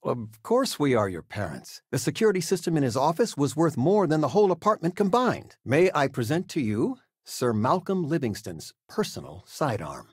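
Game dad, older: husky, very animated pitch, folksy, fussing, gently humorous retired teacher. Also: male voice, moderate pace, hardly any pauses, dry recording, studio quality. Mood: conversational, fond. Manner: hesitant, folksy, expressive. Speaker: voice actor. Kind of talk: video game character dialogue.

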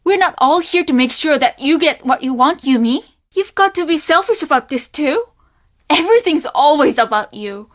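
An actress playing a stern young innkeeper's daughter in a video game, speaking in a measured, formal and easily offended way. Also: female voice, high voice, expressive, no echo, studio quality. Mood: angry. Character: guarded, stern young Japanese innkeeper's daughter, polite but curt. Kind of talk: video game character dialogue.

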